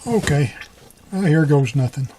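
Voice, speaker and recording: A man's voice: on the high side, lively intonation, a little slow, mellow, breathy edge. A middle-aged man giving a casual hands-on tutorial, talking in a folksy, colloquial way and half muttering to himself as he works. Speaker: repair hobbyist.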